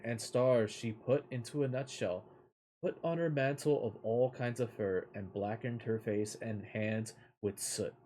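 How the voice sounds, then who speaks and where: A man reading a fairy tale aloud as an amateur storyteller, sometimes halting over the words. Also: mid-range voice, warm tone, a little hiss or hum, low-quality recording.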